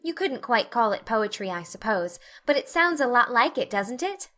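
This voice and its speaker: An eager, talkative little girl, read by a woman, rambling on about whatever catches her imagination in a bright, clear voice a little above middle pitch. she sounds earnest and wide-eyed, the way a storybook child talks. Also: very animated, moderate pace. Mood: dreamy. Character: chatty, dreamy, imaginative young girl. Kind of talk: audiobook character reading.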